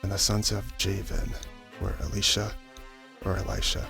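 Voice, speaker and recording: A man narrating scripture in an ASMR-style Bible audio drama, with a creaky voice and a halting way with names. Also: little pitch movement. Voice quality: low, creaky voice. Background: music.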